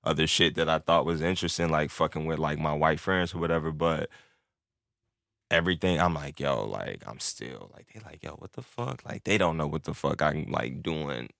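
Casual guest: young man, very low voice, bright and dry, rambling talk full of filler. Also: expressive, normal speed, creaky, no echo. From born in Turkey, raised in the United States. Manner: loose, rambling, heavy filler, acts out quoted friends. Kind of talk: casual chat.

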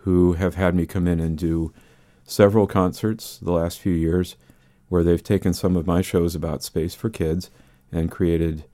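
An older man with a baritone voice, explaining and telling stories as the guest in a conversational radio interview. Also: little pitch movement, measured pace, mellow, dry recording. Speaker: interview guest, space scientist and musician.

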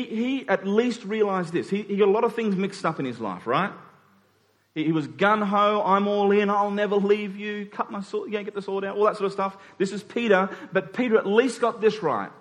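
A man's voice: sits high, moderate pace. A man preaching a conversational sermon in an informal way, acting out dialogue in everyday words. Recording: long hall reverb.

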